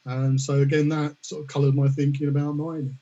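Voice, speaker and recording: A man's voice: fairly high pitch, level tone, fairly quick, mellow. A middle-aged man from the UK speaking as a panelist, polite and hesitant, with many fillers and repeated words. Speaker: panelist, risk management specialist. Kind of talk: online panel discussion. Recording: dull lo-fi audio.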